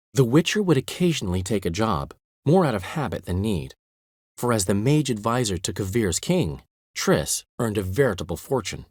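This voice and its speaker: An actor playing a vain, witty middle-aged bard in a video game, speaking theatrically with playful asides. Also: male voice, lowish voice, breathy edge, no echo, clean studio sound. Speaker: game character and epilogue narrator.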